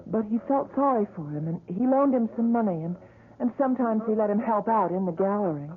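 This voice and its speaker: A woman's voice: mid-range voice, lively intonation, brisk, natural voice. A woman playing an earnest, anxious, persistent young woman in a radio drama. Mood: nervous, confiding. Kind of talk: 1970s radio drama acting. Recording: muffled vintage radio recording.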